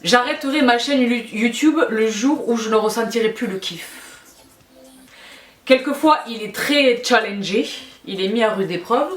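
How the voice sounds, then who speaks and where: A woman chatting casually and affectionately to her followers as a video host, emphatic and given to repeating her phrases. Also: mid-range voice. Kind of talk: casual question-and-answer chat to followers. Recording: echoey, roomy sound.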